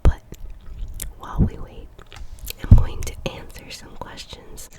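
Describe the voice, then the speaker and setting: A young woman in her twenties talks in a breathy, unvoiced whisper, unhurried and deliberate. She lingers on words and repeats them softly again and again, in the manner of ASMR. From Colombia. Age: 20s. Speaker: ASMR creator. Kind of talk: whispered ASMR get-to-know-me chat.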